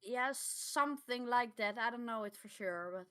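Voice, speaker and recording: Bright, higher-voiced woman chatting casually. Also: a little slow, clean tone. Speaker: podcast co-host. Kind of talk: casual discussion.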